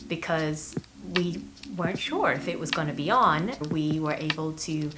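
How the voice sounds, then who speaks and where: A woman's voice: deep voice, lively intonation, measured pace, heavy background noise. A woman in her thirties from Hong Kong hosting a personal vlog, chatting to camera in an enthusiastic, emphatic way. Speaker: vlog host. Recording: voice over prominent background music. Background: music.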